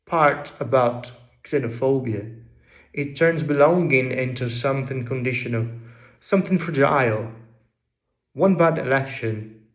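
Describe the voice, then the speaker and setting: A man's voice: mid-range voice, roomy sound. A man with a Derbyshire accent telling a personal story in an earnest, brooding first-person voice. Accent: Derbyshire English.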